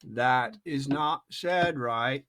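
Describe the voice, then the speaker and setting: Reader doing a storybook caterpillar, contemptuous, curt, very slow, drawn-out words. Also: medium pitch, a bit bright. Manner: slow, drawn-out, clipped replies.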